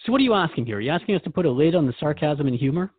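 A man's voice: on the high side, big pitch swings, racing, slightly rough, dry recording, narrow, tinny audio. A man hosting a conversational interview, asking questions in a wry, candid way. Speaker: host and questioner.

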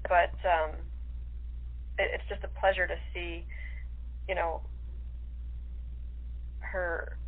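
A woman speaking as an interview guest in casual chat, enthusiastic but pausing to search for words. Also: on the low side, level tone. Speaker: radio-play actress, interview guest. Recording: tinny telephone line.

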